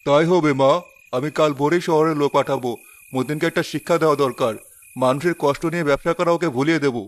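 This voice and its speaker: A man voicing a kind village leader in a cartoon, speaking in a calm and measured way. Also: a little high, close to an everyday voice. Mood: resolved. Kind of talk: cartoon voice acting.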